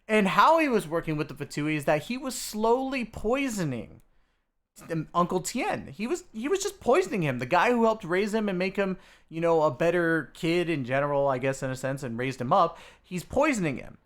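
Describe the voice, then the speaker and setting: A man's voice: sits high, big pitch swings, moderate pace, fairly bright tone. A man hosting a video, giving a casual, informal game story recap straight to his viewers. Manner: informal, addresses viewers as dudes and guys. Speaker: video host.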